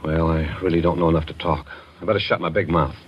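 An actor playing a shrewd, wry, take-charge investigator in a 1940s radio thriller, on a muffled, noisy old recording. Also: male voice, sits low, fairly even intonation, husky. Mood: grave, deliberate. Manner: measured, wry, directing others.

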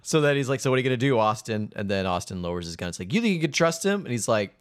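A man's voice: sing-song, rapid-fire. A man co-hosting a casual podcast, retelling film scenes and quoting characters' lines. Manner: narrates scenes, quotes lines in high voices. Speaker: podcast co-host. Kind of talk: casual chat recapping a film.

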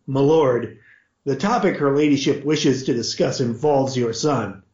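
A man voicing a formal, deferential male butler who speaks in a measured way. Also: medium pitch, darkish tone, natural voice. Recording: roomy, dull lo-fi audio.

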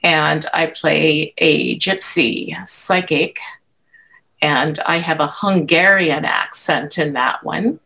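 A woman actress being interviewed, talking casually in a halting way with many restarts. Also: deep voice, creaky, pushed and bright. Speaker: actress guest.

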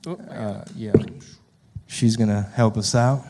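A man's voice: lowish voice, steady tone, slow pace, background noise. A man from Texas with a Texan accent, hosting a casual podcast chat in slangy, familiar language. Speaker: podcast host, comedian.

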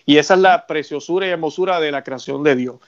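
A man's voice: a little high, fast talker, muffled recording. Earnest Puerto Rican male host. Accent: Puerto Rican Spanish. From Puerto Rico. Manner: earnest, preaching tone with religious references. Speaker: radio show host. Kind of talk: conversational talk show.

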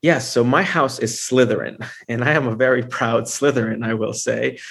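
A man's voice: mid-range voice, fairly even intonation, normal speed, vocal fry, fairly bright tone, slightly rough. Upbeat man in his thirties from Honduras. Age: 30s. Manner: chatty, upbeat, frequent fillers and restarts. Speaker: filmmaker guest. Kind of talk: casual podcast interview.

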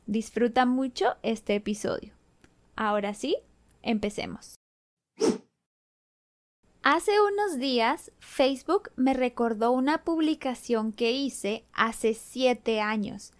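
Young Colombian woman, slightly high voice, clear and measured podcast host narrating cheerfully. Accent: Colombian, Bogotá. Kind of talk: scripted Spanish-learning podcast narration.

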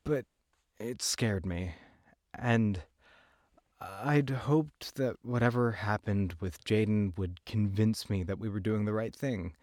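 A man acting an anxious, weary young man who gives a halting, confessional first-person account in an audio drama. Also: lowish voice, darkish tone, no echo, clean studio sound. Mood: uneasy, reflective. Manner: halting, hesitant. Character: weary, anxious young man recounting a frightening road trip.

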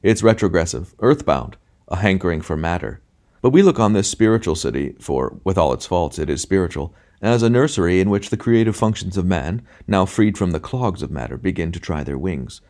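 Man reading as cultured older-style gentleman, pompous, smug, lecturing, low pitch, audiobook character. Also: expressive, fairly quick. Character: cultured, fat, smugly optimistic gentleman.

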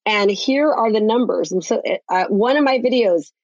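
A woman with a raspy voice, a real estate coach talking with energy and emphasis in a podcast interview. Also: mid-range voice, normal speed, no room sound, lo-fi sound. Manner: energetic, emphatic, many restarts.

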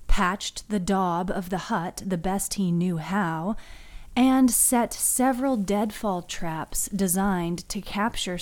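A woman narrates scripted fiction as a podcast host. She takes her time with each phrase, at an average female pitch. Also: a little mellow.

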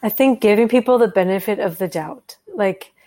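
A woman guest on a podcast talks in an earnest, thoughtful way. Her voice sits at a middle pitch for a woman, and a soft laugh comes through now and then. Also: moderate pace. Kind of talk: conversational interview.

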